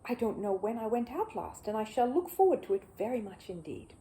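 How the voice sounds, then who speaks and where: A woman voicing a courteous, modest old gentleman from a children's storybook, formal and gentle. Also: on the high side, very animated, a little fast, a little hiss or hum. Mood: touched, formal.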